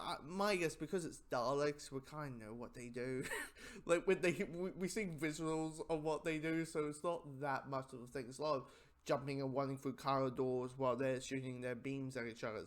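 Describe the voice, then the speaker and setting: A man giving a casual spoken review in a rambling, halting way, often repeating his phrases. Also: medium pitch.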